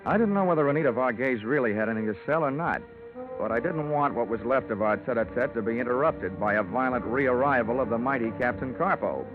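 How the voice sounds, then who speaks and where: An actor playing a wry, hard-boiled insurance investigator, deadpan and wisecracking, in an old-time radio detective drama. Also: male voice, mid-range voice, fast talker, over music, natural voice. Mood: wry, narrating. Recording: tinny vintage radio recording.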